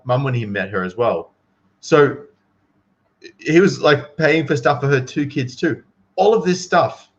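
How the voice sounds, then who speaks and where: A man's voice: medium pitch, muffled recording. A man giving casual, opinionated commentary to livestream viewers in an incredulous, emphatic, slangy manner.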